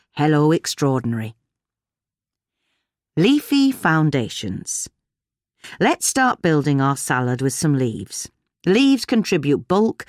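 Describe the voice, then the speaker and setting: A British woman narrates a written gardening piece in a low voice. Her pitch moves in a lively way, and the sound is dry, with very little room. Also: no echo. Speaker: magazine article reader. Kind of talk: scripted narration of a gardening article.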